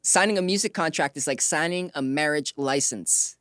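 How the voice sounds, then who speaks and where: A woman with a low-pitched voice hosts an interview casually. She is informal and affirming, often doubling short acknowledgements. The recording is dry, with very little room sound. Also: moderate pace, fairly bright tone, no echo. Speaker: interview host.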